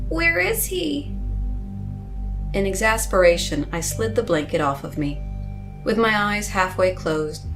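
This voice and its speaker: A woman's voice: lowish voice, low-quality recording. A woman reading a first-person story as a scripted, dramatic narration.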